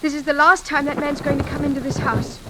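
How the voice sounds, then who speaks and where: An actress playing a headstrong, emphatic young daughter in a 1940s radio drama. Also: female voice, high-pitched, level tone, fast talker, lightly acted. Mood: indignant. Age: young adult. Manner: emphatic, forthright. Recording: hissy old radio recording.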